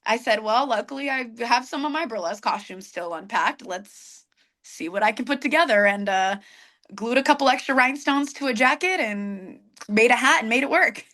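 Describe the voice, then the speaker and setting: A woman in her thirties, a burlesque performer, telling stories in a warm, chatty interview. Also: on the high side, lively intonation, normal speed. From United States. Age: 30s. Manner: warm storyteller, many restarts. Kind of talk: casual interview chat.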